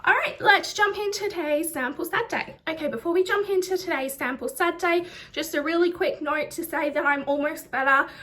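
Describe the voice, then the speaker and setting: Chatty woman, high voice, slightly nasal. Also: brisk, bright, forceful tone. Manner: chatty, lots of ums and likes. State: slightly nasal from a chest infection. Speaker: beauty vlogger. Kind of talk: casual product review vlog.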